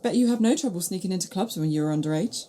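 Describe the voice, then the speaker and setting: A woman's voice: on the low side, animated, very fast, mellow, a little hiss or hum, close to an everyday voice. An actress playing a wry, sceptical female companion in a sci-fi audio drama, teasing and questioning.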